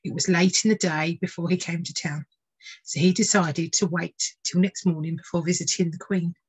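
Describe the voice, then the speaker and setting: Slightly husky woman reading a storybook. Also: fairly low pitch, fairly even intonation, moderate pace, darkish tone, low-quality recording. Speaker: tutor reading a children's book. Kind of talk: expressive storybook narration.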